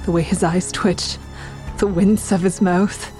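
An actress playing a frightened woman who tells her own ordeal in an audio drama, with tense, measured storytelling. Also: fairly low pitch, fairly even intonation, darkish tone, lightly acted. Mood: tense narration. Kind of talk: dramatic first-person narration and dialogue. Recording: music behind the voice.

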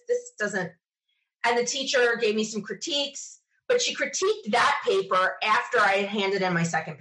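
Female interview guest, medium pitch, talkative casual storyteller who voices remembered dialogue. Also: poor audio. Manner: chatty, animated quoting of self and others.

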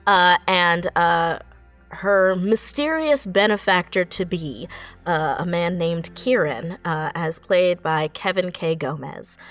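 A woman in her late twenties speaking earnestly and effusively as a writer in a reflective interview. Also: lowish voice. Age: late 20s. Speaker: audio drama writer and voice actor.

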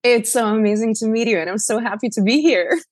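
A woman's voice: on the high side, a little fast, dry recording, studio quality. A middle-aged woman from Slovenia with a Slovenian accent, speaking conversationally as an interview guest. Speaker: interview guest, actor and playwright.

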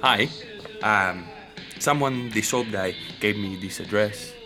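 A man acting a puzzled, hesitant character in an audio drama, speaking in a halting, questioning, naturalistic way. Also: lowish voice, over music. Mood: confused, hesitant. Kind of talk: naturalistic fiction podcast acting.

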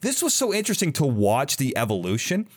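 A man hosting a casual interview, talking in a halting, rambling way and often interrupting himself. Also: mid-range voice, huge pitch swings. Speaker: podcast host interviewer.

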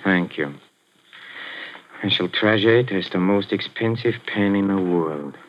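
An actor playing a nervous, sly middle-aged European impresario who stammers and hesitates, breaking into nervous little laughs, in 1940s radio acting. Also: male voice, low-pitched, unhurried. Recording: muffled 1940s radio broadcast.

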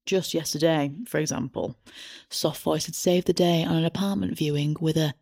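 Female drama narrator, husky voice, storybook telling, very dry close sound. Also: sits low, fairly even intonation, normal speed, darkish tone, no echo. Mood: measured. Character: wry storybook narrator of a young woman's life. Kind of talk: scripted narration.

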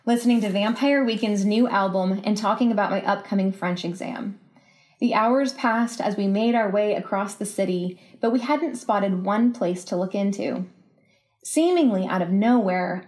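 American woman with an even, mid-pitched voice. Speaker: writer and podcast guest. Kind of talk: reading a personal essay aloud, then thoughtful interview answers.

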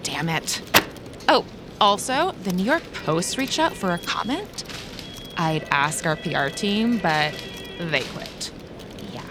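A woman's voice: lowish voice, big pitch swings, takes it slowly, pauses often, music in the background. A woman playing a flippant, chatty office employee in an audio drama, breezy and casual in manner. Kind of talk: naturalistic audio drama acting.

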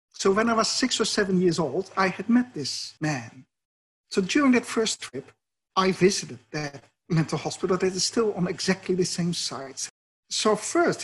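A man's voice: high voice, breathy edge, rough recording. A middle-aged man from the Netherlands with a Dutch accent, a professor answering interview questions in a thoughtful, explanatory way. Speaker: professor and researcher.

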